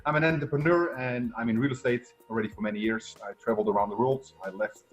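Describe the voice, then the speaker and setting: A man's voice: medium pitch, steady tone, quick pace, lo-fi sound, soft background music. A middle-aged man from the Netherlands with a Dutch accent, talking conversationally as an upbeat coach being interviewed. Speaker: guest, success coach and entrepreneur.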